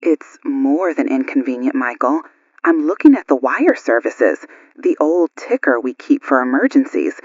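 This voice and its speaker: Actress as concerned female radio host, husky, lively pitch, alert, audio drama broadcast. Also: moderate pace, no room sound, highs cut off, heavily acted. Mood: urgent, worried.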